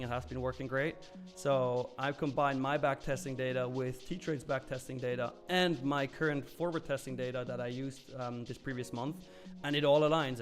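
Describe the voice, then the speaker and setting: A man hosting a live stream, chatting loosely and candidly as he answers viewers' comments, with frequent fillers and the odd curse. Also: mid-range voice. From Switzerland. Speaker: live trading stream host.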